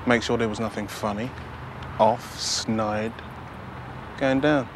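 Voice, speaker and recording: An actor playing a blunt, sceptical, streetwise undercover police sergeant in a naturalistic crime drama, using slangy, challenging talk. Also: male voice, lowish voice, steady tone, slow pace, background noise. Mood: blunt, wary.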